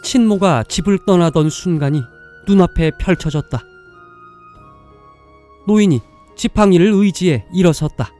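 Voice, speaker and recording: A man's voice: sits high, measured pace, pauses often, warm tone. A man reading scripted story narration as the narrator of a drama. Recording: music behind the voice.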